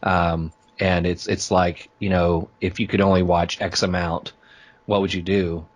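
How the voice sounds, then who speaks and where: A man's voice: deep voice, little pitch movement, unhurried. A man chatting casually as an interview guest, easygoing and agreeable, often thinking aloud as he goes. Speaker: podcaster being interviewed as a guest. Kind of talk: casual fan chat interview.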